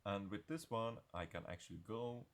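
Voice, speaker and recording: Low creaky-voiced guy walking thru a coding tutorial, kinda halting, stops and starts a lot. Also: a bit bright. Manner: halting, hesitant delivery. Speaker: software tutorial presenter. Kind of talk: unscripted screencast tutorial.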